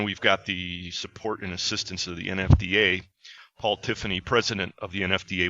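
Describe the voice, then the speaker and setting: A man's voice: on the low side, sharp tone, dry recording. A man hosting a podcast, chatting casually and plugging his company in an upbeat way. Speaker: podcast host. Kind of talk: casual chat with plugs. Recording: dull lo-fi sound.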